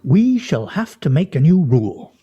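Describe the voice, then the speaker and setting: A man's voice: on the high side, big pitch swings, normal speed, mellow, breathy edge, no echo, lo-fi sound. A man playing a wry, theatrical husband in a comic radio play, speaking in a pompous, mock-formal way. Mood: wry, teasing. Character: wry, theatrical, teasing husband with grandiose fantasies. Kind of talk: stage radio play comedy acting.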